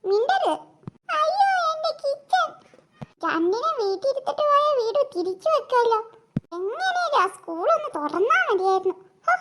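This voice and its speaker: A performer voicing a brisk, exasperated young mother who admonishes in a comedy cartoon. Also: extremely high pitch, sing-song, heavy background noise. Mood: exasperated, scolding.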